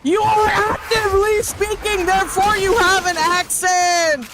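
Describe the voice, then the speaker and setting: A woman's voice: extremely high pitch, unhurried, background noise. A woman streaming as a VTuber, chatting casually and reacting to chat while she plays a game. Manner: animated, reacting to chat, rising to outbursts. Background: game music.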